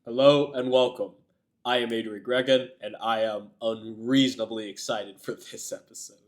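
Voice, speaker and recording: A man with a cold talks straight to his viewers, his voice raspy and a little nasal at an ordinary middle pitch. He rambles in a loose, chatty way, openly calling himself a yapper. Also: fairly even intonation, moderate pace. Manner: rambling, self-described yapper. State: getting over a cold. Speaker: anime reaction host. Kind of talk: casual chat to camera.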